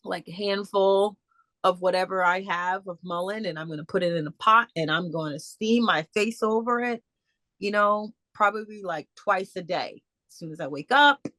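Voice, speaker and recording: A woman speaker answering audience questions in a casual, halting way, with many fillers and restarts over a video call. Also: medium pitch, bright, forceful tone, dry recording. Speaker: guest speaker.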